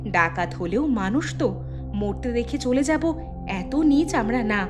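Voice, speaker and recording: A woman's voice: on the high side, animated, measured pace, over music. Woman acting as protective elder village woman, decisive, reassuring, folk-tale storytelling. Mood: calm, determined. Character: resolute protective eldest daughter-in-law, a village woman. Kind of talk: Bengali folk tale dramatization.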